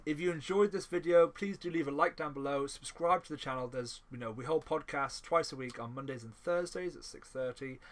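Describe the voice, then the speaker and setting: A man hosting a podcast in a casual chatty style, speaking haltingly and often repeating his phrases. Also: a little high. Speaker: podcast host. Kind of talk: casual chat.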